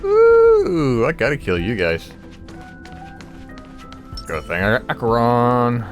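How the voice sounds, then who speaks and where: A man's voice: mid-range voice, wildly swooping pitch, slow. A man giving casual, blunt, irreverent let's-play commentary, talking back to the game as he plays. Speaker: gameplay streamer. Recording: game music behind the voice. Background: video game music.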